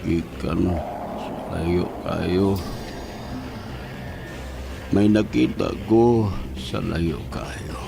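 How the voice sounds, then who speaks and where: Slow, gravelly, deep-voiced entranced man, acted by a man. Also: noisy background, exaggerated voice. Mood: dazed, entranced. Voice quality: low, gravelly. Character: entranced man, Dominic, slowly describing creeping visions. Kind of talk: horror audio drama acting. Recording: music bed under the voice.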